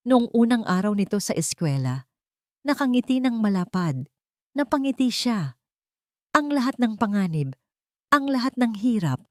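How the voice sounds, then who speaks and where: A woman's voice: medium pitch, a little mellow, no echo, studio quality. A woman reading a scripted dramatic story with suspenseful, emphatic delivery.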